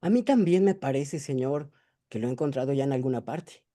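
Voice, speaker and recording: A man's voice: mid-range voice, lively intonation, a little fast, warm tone, lightly acted. A man reading as a timid middle-class lady. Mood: polite, vague. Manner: reading a play script aloud.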